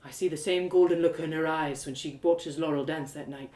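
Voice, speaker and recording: A woman's voice: sits low, steady tone, fairly quick, warm tone, natural voice. Woman acting a heartbroken young village lad, roomy echo. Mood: bittersweet. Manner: colloquial rustic, confiding, hesitant. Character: heartbroken earnest young village man confiding in stranger. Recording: roomy echo of home live reading.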